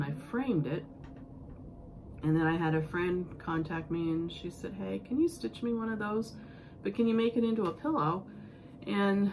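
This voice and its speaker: A woman hosting a casual needlework show-and-tell, sniffling with a cold and talking in a halting, rambling, homespun way. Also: low-pitched. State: sick and sniffling. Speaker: needlework vlog host. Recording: clearly noisy home recording.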